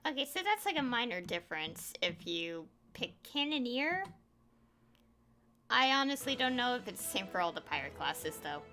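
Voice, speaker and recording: A woman's voice: a little high, very animated, moderate pace, sharp tone, soft background music. A woman giving casual, offhand game commentary, chatting informally to her viewers. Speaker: gaming video creator.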